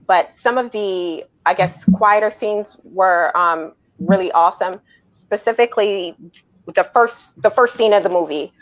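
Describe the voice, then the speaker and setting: A woman's voice: mid-range voice, dull audio. A woman speaking casually as a panelist in a movie review discussion.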